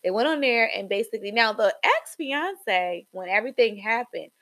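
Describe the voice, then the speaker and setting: A young woman co-hosting a casual gossip podcast, animated, with sudden high jumps in pitch and trailing ums. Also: normal speed. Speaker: podcast co-host.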